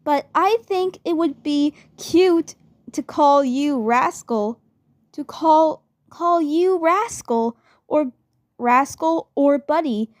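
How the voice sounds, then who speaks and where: Woman doing a smitten playful girl for a fan dub, high voice, slow n halting. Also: no echo, studio quality. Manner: halting, repeating words. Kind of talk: amateur fan dub roleplay.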